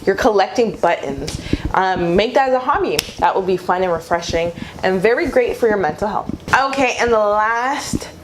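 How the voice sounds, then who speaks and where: A woman's voice: medium pitch, lively intonation, normal speed. Young woman giving casual advice, noisy recording. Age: young adult. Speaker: vlogger. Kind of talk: casual advice chat to viewers.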